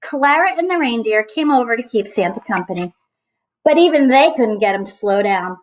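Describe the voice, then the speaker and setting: A woman reading a children's story aloud as a teacher, with expressive emphasis and chatty asides to her young listeners. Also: on the high side, normal speed, slight background noise, rough recording.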